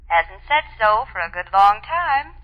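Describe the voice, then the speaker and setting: A needling female inner voice, critical and teasing, with animated inflection at medium pitch. It is 1940s commercial skit acting. The recording is dull vintage radio. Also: measured pace. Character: needling inner voice of a young lady.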